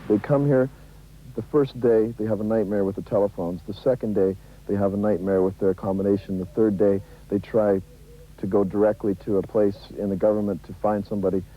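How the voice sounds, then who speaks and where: A man's voice: lowish voice, steady tone, brisk. A man giving an interview answer in a wry storytelling way. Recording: slightly dull broadcast audio.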